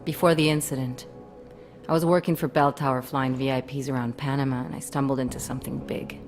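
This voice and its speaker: A woman's voice: rumbling low, flat delivery, fairly quick, a little mellow, faint music behind. An actress playing a hardened, wary female resistance operative in a video game, wry and world-weary. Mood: grave, confiding. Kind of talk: video game cutscene acting.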